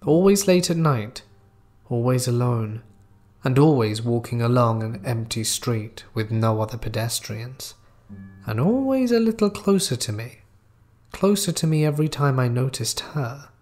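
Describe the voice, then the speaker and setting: A man reading a scripted first-person horror story in a measured, dramatic storytelling manner. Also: mid-range voice, lively intonation, darkish tone.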